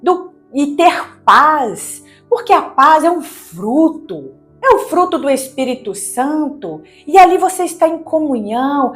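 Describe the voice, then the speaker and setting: A woman's voice: sits high, very animated, a little slow, a bit bright. A woman with a Brazilian accent from Minas Gerais gives a devotional Bible teaching, reading and explaining in a didactic, conversational way with rhetorical questions. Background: background music.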